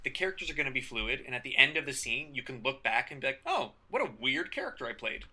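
A man with a very bright, forceful voice co-hosts a laid-back improv podcast. He riffs on examples with animated emphasis and an occasional swear word, chatting loosely as he goes. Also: medium pitch, quick pace. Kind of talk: casual instructional chat.

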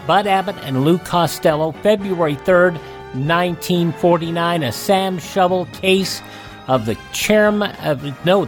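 A woman hosting a radio program with a scripted introduction. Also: lowish voice. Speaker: radio show host.

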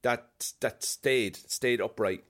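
A man's voice: mid-range voice, slow pace, a little hiss or hum. A man from Cork with an Irish accent, chatting casually on a podcast, telling stories with lots of put-on voices and a fair bit of swearing. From Ireland. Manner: animated storyteller, acts out voices, swears freely. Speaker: podcast guest. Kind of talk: casual chat.

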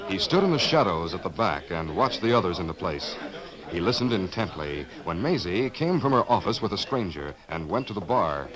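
A man's voice: low voice, big pitch swings, normal speed, a crowd in the background, poor audio. A man as the dramatic storyteller of a 1950s radio western, reading scripted narration. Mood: measured. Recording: old radio recording with prominent music.

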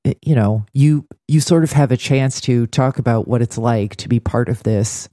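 Mellow man, close dry sound. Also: mid-range voice, normal speed, no echo. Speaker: podcast co-host. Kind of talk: casual TV recap discussion.

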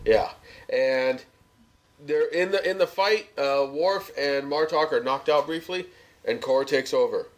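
A man with an American accent and a fairly high voice talks through a review as a podcast co-host. His delivery is conversational and opinionated, with a sardonic edge. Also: a little slow. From United States. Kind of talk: casual review chat.

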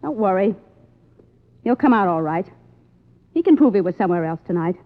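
A woman playing a stage actress with something to hide, in a medium-pitched voice. The acting is that of a 1950s radio mystery, heard through a thin, tinny broadcast recording. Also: lively intonation, brisk, close to an everyday voice. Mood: cold, threatening. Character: young stage actress, demure front over cold-blooded killer.

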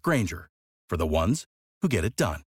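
Husky, lively ad announcer, a man. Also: low voice, moderate pace, no room sound, clean studio sound. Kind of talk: scripted ad read.

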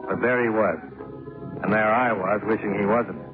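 An actor as a low-voiced, hard-boiled American investigator. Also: male voice, moderate pace, music in the background, natural voice. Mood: wry, tense narration. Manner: dry, clipped, hard-boiled deadpan. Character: cool, wry male private insurance investigator. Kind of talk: 1950s radio drama acting with first-person narration. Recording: muffled 1950s radio recording.

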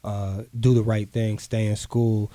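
A man speaks in a low, mellow voice. He talks earnestly and encouragingly, repeating words to drive his point home. The sound is dry and close to the mic. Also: level tone, measured pace, no room sound. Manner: earnest, motivational, repeats for emphasis. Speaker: guest artist. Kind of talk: casual interview talk.

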